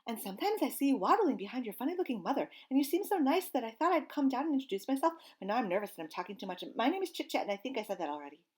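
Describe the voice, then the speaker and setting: A woman's voice: fairly high pitch, big pitch swings, darkish tone, slightly rough, pitched above the performer's own voice. A woman voicing a tiny, nervous young female squirrel who rambles on in one breathless rush, for a storybook reading. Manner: rambling, breathless, rapid-fire.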